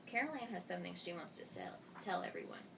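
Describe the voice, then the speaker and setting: A friendly, helpful young schoolboy from a storybook, played by a woman, talks earnestly with lively pitch. The sound is distant and very noisy. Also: fast, vocal fry. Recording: distant mic, loud noise.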